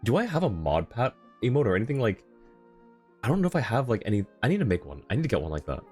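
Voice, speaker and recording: A man's voice: medium pitch, very animated, quick pace, a little mellow, slightly rough, soft background music. A man giving casual livestream game commentary and chatting with viewers.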